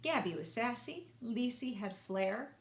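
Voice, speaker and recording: A woman reading picture books aloud in an expressive way, speaking directly to young listeners and asking them questions. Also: a little high, a little slow, slight background noise. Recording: echoey room.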